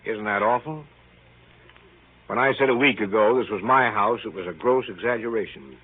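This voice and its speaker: A man's voice: lowish voice, moderate pace, natural voice. A man playing an exasperated, sardonic middle-aged father, narrating a 1930s radio drama in a dry, weary, complaining way. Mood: wry, exasperated. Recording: tinny 1930s radio recording.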